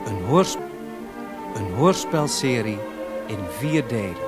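A man announcing a radio drama series in a deliberate, declaiming scripted style. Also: mid-range voice, sing-song. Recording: loud music behind announcement. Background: loud music.

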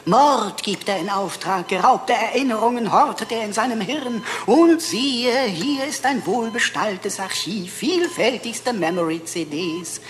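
A woman's voice: mid-range voice, animated, unhurried, hardly any pauses, lightly acted. A woman voicing a gleefully wicked computer sidekick who preaches mock sermons in pompous, archaic phrases in a radio play. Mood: pompous, preachy. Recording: long hall reverb, faint music.

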